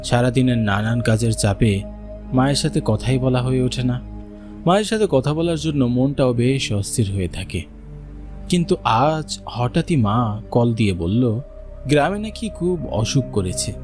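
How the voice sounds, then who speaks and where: A man's voice: medium pitch, expressive, measured pace, close to an everyday voice. Man acting a worried son telling an eerie tale. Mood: wistful, uneasy. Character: worried city-working son narrating his eerie journey home. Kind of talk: dramatic first-person horror cartoon narration. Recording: music behind the voice.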